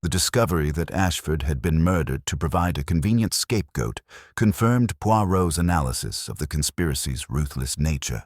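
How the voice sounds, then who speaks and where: A man reading scripted murder mystery narration in a formal, measured storytelling manner. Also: very deep voice, slightly rough, no echo, clean studio sound. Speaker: audiobook narrator.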